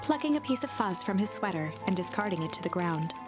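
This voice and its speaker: A woman's voice: medium pitch, lively intonation, fast talker. Woman narrating a story over music. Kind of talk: dramatic storybook narration of a game. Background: music behind the voice.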